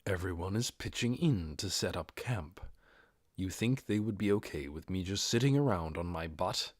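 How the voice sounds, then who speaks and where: A man voicing a measured, discreet undead adventurer leader in a hushed, breathy voice for an audiobook. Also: low-pitched, expressive, no echo, studio quality, pitched below the performer's own voice.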